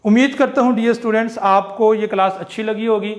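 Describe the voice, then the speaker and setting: A man teaching an online English phonetics class, slowly repeating each sound and example phrase. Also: high voice, fairly even intonation, roomy sound.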